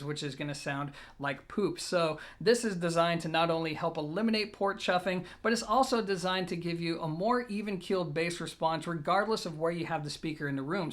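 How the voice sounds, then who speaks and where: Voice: medium pitch, fairly bright tone. A video host presenting an audio gear review in a semi-scripted, informal way, addressing viewers directly and leaning on the opening words of each point.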